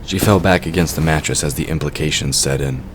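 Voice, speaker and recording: A man's voice: a bass voice, fairly quick, noisy background. A man reading scripted narration for a fantasy audio drama.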